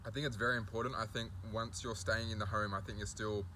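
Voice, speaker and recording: Young male host, low-pitched, bright tone, easygoing, faint ambient noise. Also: fast. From Australia. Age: young adult. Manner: relaxed, lots of "like" and "you know". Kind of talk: casual chat.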